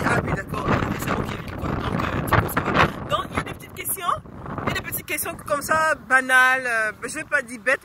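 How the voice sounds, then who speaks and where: A woman with the flu vlogging outdoors by a lake, chatting casually and rambling with many fillers and self-corrections. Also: sits high. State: has the flu. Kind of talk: casual vlog advice. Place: outdoors by a lake in freezing wind. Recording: loud wind noise outdoors.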